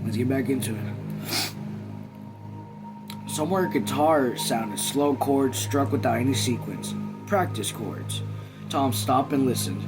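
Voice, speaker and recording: A man hosting a read-along, reading a novel aloud informally and breaking off to comment. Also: medium pitch. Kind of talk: casual audiobook reading with asides.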